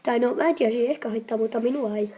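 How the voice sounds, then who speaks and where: A woman's voice: on the high side, dark tone, voice pushed down, lightly acted. A woman voicing a muddled, indulgent old grandfather who rambles, in a hobby anime comedy dub. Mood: musing, indulgent.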